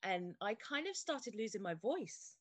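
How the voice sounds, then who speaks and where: A woman speaking as an interview guest, telling her own story in a halting, heartfelt way with frequent restarts. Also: medium pitch, very animated, fairly bright tone, dry recording. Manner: halting, stops and restarts often, open and heartfelt. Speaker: interview guest, fitness studio owner. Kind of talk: candid personal interview.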